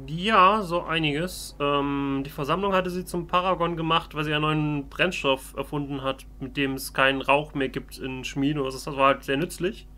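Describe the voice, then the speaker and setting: A man's voice: on the high side, steady tone, moderate pace, hardly any pauses, sharp tone. A man doing improvised in-character let's play commentary, with casual, hesitant phrasing. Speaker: let's player.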